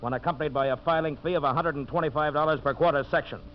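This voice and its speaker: A man acts a bullying sawmill boss from a 1950s radio play. He fires off formal, legalistic demands at a quick clip. The recording is dull and faintly hissy. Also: on the high side, steady tone, lightly acted. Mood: officious. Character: hard, officious male sawmill owner, bullying villain. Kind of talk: 1950s radio drama acting.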